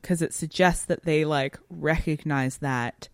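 Low-voiced woman co-hosting a podcast. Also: fairly even intonation, measured pace, no echo, clean studio sound. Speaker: podcast co-host. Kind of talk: casual analytical discussion of fiction.

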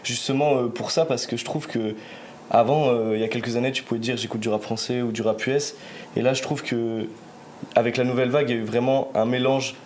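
A man's voice: medium pitch, fairly even intonation, quick pace, a little mellow, a little hiss or hum. A young man hosting a podcast, leading the chat casually with questions and slangy fillers. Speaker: podcast host. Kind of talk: casual interview.

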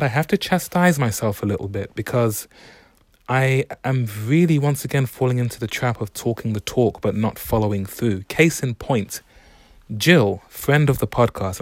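A young man hosting a solo podcast, talking in a relaxed, reflective, unhurried way. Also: medium pitch, dry recording. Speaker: podcast host. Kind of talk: casual solo podcast monologue.

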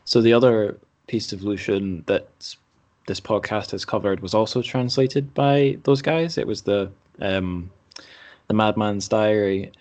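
Male podcast host, lowish voice, casual and self-deprecating interviewer. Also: a little slow, a little mellow.

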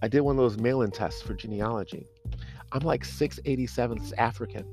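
Male narrator as clueless chummy office guy for audio comedy; pitched high, pushy, slangy, overfamiliar. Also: brisk, vocal fry, over music, close to an everyday voice. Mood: insistent, carefree. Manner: overfamiliar, rambling, peppering talk with bro-style slang. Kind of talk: comic audio fiction dialogue.

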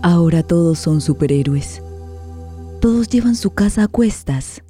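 A woman's voice: fairly low pitch, expressive, a little slow, dark tone, soft background music. A woman delivering dramatic scripted narration for a radio play.

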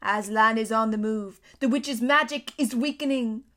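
A woman voicing Father Christmas in a storybook reading, a huge, glad old man speaking in slow, grand pronouncements. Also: a little high, fairly bright tone, natural voice. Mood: solemn.

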